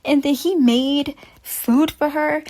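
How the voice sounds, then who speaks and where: A woman presents casual fan commentary as a video host. Her voice is on the higher side, and she talks in an expressive, openly gushing manner. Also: slow pace. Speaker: video host reviewing game phone calls.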